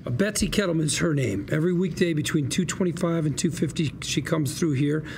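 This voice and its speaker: A slick male con-artist lawyer cajoles with colourful, slangy patter. His voice sits fairly high for a man and has a lot of fry in it. Noticeable noise runs behind the drama dialogue. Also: a little fast, darkish tone. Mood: scheming, emphatic. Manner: glib, persuasive patter with colourful slangy quips. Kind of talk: screen drama script read at a table read.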